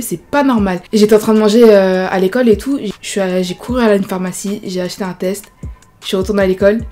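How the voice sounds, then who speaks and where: A woman's voice: mid-range voice, warm tone. A woman in her early twenties telling her story in a chatty storytime vlog, full of fillers. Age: 20s. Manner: chatty, many fillers like genre and voilà. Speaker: YouTuber.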